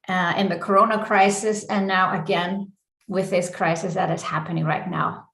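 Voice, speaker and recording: A woman's voice: mid-range voice, fairly bright tone. Icelandic woman coaching in an echoey room. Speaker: business coach and session host. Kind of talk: live online coaching presentation.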